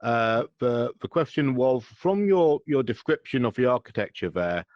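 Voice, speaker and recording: Guy asking a question, mid voice, dull lo-fi mic, kinda wry and halting. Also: medium pitch, very animated, no echo. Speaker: class participant. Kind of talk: asking a question on a live call.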